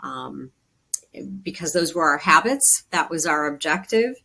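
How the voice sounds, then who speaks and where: Low-voiced woman panelist. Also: expressive, a little slow, pushed and bright, slightly rough. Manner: conversational, with frequent fillers. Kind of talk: webinar panel answer.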